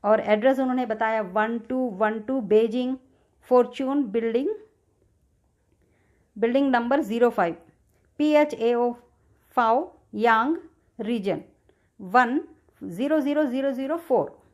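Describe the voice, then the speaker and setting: A woman's voice: fairly high pitch, measured pace, long pauses. A middle-aged woman with an Indian accent telling a personal experience casually and in detail, acting out bits of dialogue as she goes. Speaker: video creator sharing a personal experience. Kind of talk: casual first-person storytelling with re-enacted dialogue. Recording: dull, lo-fi sound.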